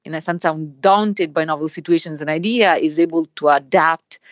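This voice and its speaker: Italian-accented woman academic, low-pitched, explains in measured answers, sound a bit dull. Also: no room sound. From Italy. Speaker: business school professor, guest. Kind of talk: podcast interview answers.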